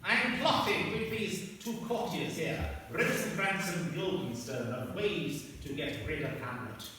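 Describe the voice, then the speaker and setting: Man doing a bossy scheming king, slow and bright, big echoey hall. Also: fairly high pitch, animated, recorded from a distance. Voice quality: theatrical, bossy. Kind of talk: amateur comic radio play. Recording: echoey hall, recorded from afar.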